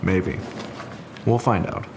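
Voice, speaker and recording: An actor playing a guarded homicide detective in an audio drama, terse and noncommittal. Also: male voice, low voice, slow pace, gravelly, darkish tone, soft background music, heavily acted. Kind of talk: full-cast audio drama acting.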